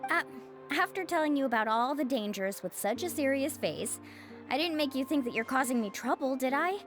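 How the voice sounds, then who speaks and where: Upbeat sincere young guide in a game, high pitched, bouncy intonation. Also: female voice, lively intonation, brisk, music in the background. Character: earnest, upbeat young female guide, loyal to her teacher. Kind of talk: video game voice acting.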